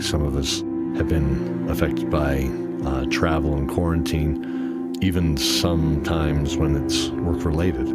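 A man with a very low voice speaks as host and storyteller of an audio drama. His delivery is measured and deliberate, and loud new-age music plays behind him. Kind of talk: sincere spoken introduction, then scripted fantasy narration.

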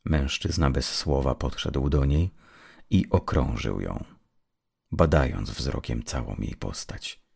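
Deep gravelly male storyteller. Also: slow, darkish tone. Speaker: storyteller, narrator. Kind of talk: scripted dramatic narration of dark fiction.

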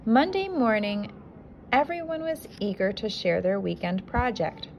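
A woman who is a kindergarten teacher reads a picture book aloud to young children with expressive, deliberate storytelling. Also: mid-range voice, vocal fry, muffled recording. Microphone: handheld phone filming the book. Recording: noticeable background noise, amateur phone recording.

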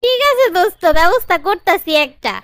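A woman voicing an excitable, pushy young gossip in a comic cartoon, with a Bangladeshi accent. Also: very high-pitched, a little fast, no echo, studio quality. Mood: knowing. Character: excitable, bossy young female gossip, best friend.

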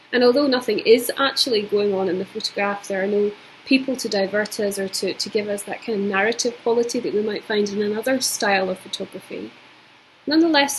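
A Scottish woman in her forties talks through an art lesson into her webcam at home, measured and thoughtful, pausing on plenty of ums. Her pitch sits in an ordinary middle range. A faint hiss sits under the recording. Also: rough recording. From Scotland. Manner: reflective, measured, with many ums. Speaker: art teacher. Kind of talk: recorded video lecture. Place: indoors at home.

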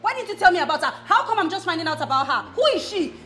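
Woman as exasperated wife; very high voice, roomy echo. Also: big pitch swings, normal speed, fairly bright tone. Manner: shrill scolding, then whispered praying. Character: exasperated wife fed up with her husband. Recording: echoey room with music behind.

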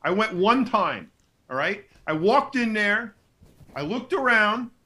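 A man's voice: sits high, very animated, takes it slowly, sharp tone. A man making wry, emphatic jabs on a casual podcast, with swearing. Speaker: podcast panelist.

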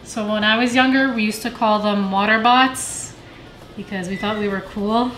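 Calm woman walking through a recipe. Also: medium pitch, normal speed, a little hiss or hum. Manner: calm step-by-step explaining with fillers. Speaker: home cook guest. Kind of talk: casual cooking instruction. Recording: echoey room, faint music.

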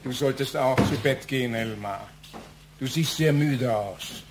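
A radio-play grandfather played by a man, elderly and composed. He speaks in measured, politely pointed phrases at a middling pitch, over a faint hum. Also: close to an everyday voice. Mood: soothing. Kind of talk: German radio play acting.

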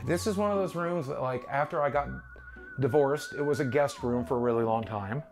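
A man's voice: medium pitch, a little hiss or hum. A middle-aged man talking casually and candidly to camera, in a self-deprecating way, as he shows people around a house. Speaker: online video creator giving a house tour. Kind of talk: casual talk to camera, walking through a house. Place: rooms of a house, indoors. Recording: music playing behind the voice. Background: background music.

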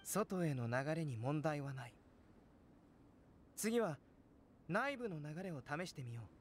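A man playing a calm, courteous young alchemist in an anime game, speaking in a measured, gentle, analytical way. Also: on the high side, slight background noise. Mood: calm, explanatory. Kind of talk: scripted anime game dialogue. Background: game music.

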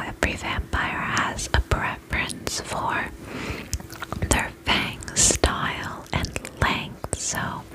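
A woman in her twenties doing a soothing, attentive ASMR roleplay as a spa attendant, whispering softly close to the microphone. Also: rough recording. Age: 20s. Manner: soft, soothing, attentive customer-service patter. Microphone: close microphone, face near camera.

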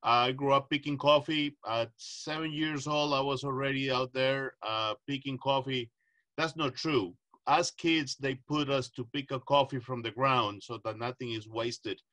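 Middle-aged man from Costa Rica, panelist on a video call, bright tense tone, earnest and halting. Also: mid-range voice, fairly even intonation. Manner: thoughtful, many "uh" fillers, earnest. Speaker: panelist, agricultural economist. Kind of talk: casual panel answer. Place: home, video call with virtual background. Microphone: webcam or laptop mic on video call.

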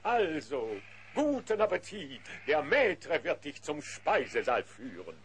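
A man's voice: on the high side, big pitch swings, slow pace, slight background noise. A man playing a pompous, theatrical villain duke with florid diction in a cartoon audio drama. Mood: oily politeness. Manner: pompous, theatrical, florid insults and oily courtesy. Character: pompous, irascible villain duke, slyly flattering when plotting.

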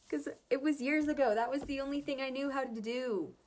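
A woman's voice: sits high, normal speed, darkish tone, rough recording. A woman chatting casually as she reacts to fan comics, rambling and gushing with a giggly manner. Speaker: host reading fan comic dubs and commenting.

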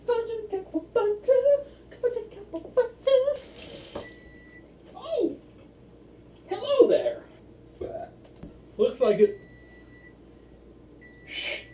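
A man's voice: extremely high pitch, wildly swooping pitch, drawn-out words, long pauses, dark tone, slight background noise. A drunk young man rambling and playing silly while he bakes in a small home kitchen, recorded by a camera across the room. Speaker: vlogger. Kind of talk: drunken cooking demo vlog.

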